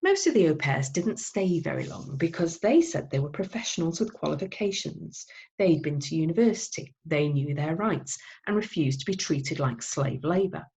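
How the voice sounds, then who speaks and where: A woman with a fairly low voice narrates a children's novel. She reads expressively, like a teacher reading aloud to pupils. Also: sing-song, moderate pace, darkish tone.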